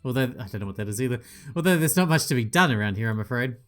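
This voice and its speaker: A man reads a mystery visual novel aloud, giving each character a voice and adding cheeky asides. His pitch sits mid range. Soft game music plays under him. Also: expressive, racing. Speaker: gaming channel host.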